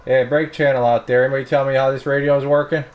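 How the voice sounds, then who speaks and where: A man's voice: medium pitch, fast talker, lo-fi sound. An American man giving a casual hands-on demonstration as a radio technician. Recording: steady noise behind the voice. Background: clear background noise.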